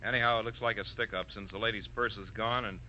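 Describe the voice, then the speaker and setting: An actor playing a dry, firm police detective lieutenant with a businesslike manner in a 1940s radio drama. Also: male voice, fairly low pitch, steady tone, quick pace. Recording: dull, sharp old radio recording.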